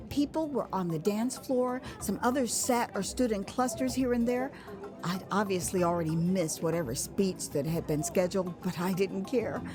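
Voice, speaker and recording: An American woman narrates a first-person story in a theatrical, expressive style. She drops in conversational asides as if confiding in the listener. Music plays clearly behind her voice. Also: a little high. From United States. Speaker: author and narrator of her own memoir.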